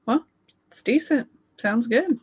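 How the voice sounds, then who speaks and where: A woman with a fairly high voice chats casually on a livestream. She laughs often and keeps thanking viewers by name. Her voice is wearing thin and growing hoarse as she talks. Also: takes it slowly, slight background noise. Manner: giggly, chatty, reads out viewer comments. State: losing her voice. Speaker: livestream co-host.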